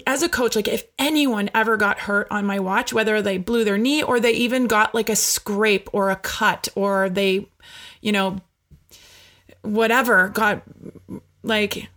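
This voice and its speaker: A woman's voice: medium pitch, fairly even intonation, unhurried, a bit bright. Woman chatting supportively, full of fillers. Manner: supportive, rambling with many fillers and restarts. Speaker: podcast host and former coach. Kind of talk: casual conversation.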